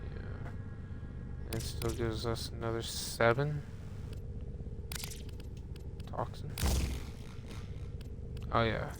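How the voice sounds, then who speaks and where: A man's voice: medium pitch, very slow, long pauses. A man streaming a video game, thinking aloud casually and muttering to himself as he reads from the screen. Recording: steady loud background noise.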